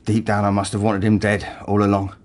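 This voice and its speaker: A man's voice: fairly low pitch, completely flat delivery, lightly acted. Actor as embittered, broken working man confessing. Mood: subdued, halting. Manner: halting, hesitant, emotionally raw. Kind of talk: naturalistic radio drama acting.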